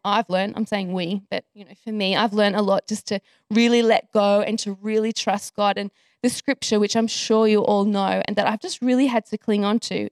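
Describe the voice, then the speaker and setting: A woman's voice: medium pitch, a little mellow, dry recording, studio quality. A woman, a missionary and pastor's wife, giving an earnest, chatty testimony to a church, often correcting herself.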